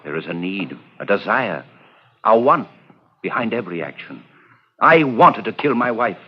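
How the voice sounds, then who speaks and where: An actor playing an amused, cold-blooded murderous doctor in old radio style, smug and condescending. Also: male voice, lowish voice, sing-song, normal speed, slightly rough. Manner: smug, philosophical, condescending, chuckling. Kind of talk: 1940s radio acting. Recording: dull old radio recording.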